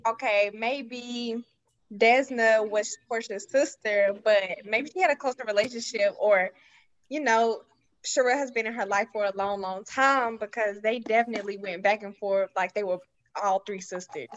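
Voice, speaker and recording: A woman's voice: fairly high pitch, normal speed, lo-fi sound. A woman guest talking casually and colloquially, giving her opinions in a group discussion. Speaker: voice actor guest. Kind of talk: casual chat.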